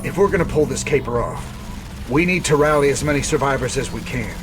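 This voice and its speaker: A determined, rugged rebel commander, voiced by a male actor, speaking in a plain drawl at medium pitch. The delivery moves up and down freely, and music plays behind this game cinematic dialogue. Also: lively intonation, quick pace, close to an everyday voice. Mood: resolute. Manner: plain-spoken, resolute drawl. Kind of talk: video game cinematic acting.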